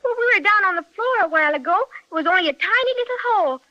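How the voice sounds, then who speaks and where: A woman's voice: extremely high pitch, lively intonation, rapid-fire, dry recording. A woman playing an eager, curious little girl in a 1930s children's radio serial, earnest and exclaiming, on a tinny old recording. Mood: amazed.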